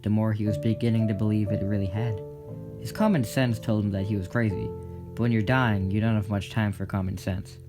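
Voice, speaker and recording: A man reading scripted story narration for an audio drama. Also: lowish voice. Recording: prominent guitar music behind. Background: plucked guitar music.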